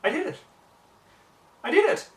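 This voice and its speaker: A man's voice: normal speed, close to an everyday voice. A man voicing a refined, eager female unicorn pony in an amateur storybook reading. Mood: thrilled. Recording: roomy, distant mic, faint noise.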